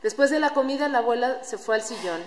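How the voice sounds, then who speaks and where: A woman's voice: on the high side, lively intonation, quick pace, darkish tone. A woman reading scripted storytelling narration for a radio play. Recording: echoey hall with room noise.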